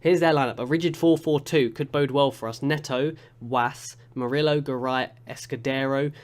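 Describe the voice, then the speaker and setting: A young man with a congested, sniffly voice from a cold, chatting through a football management game with excitable play-by-play commentary. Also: medium pitch, normal speed. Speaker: gaming YouTuber.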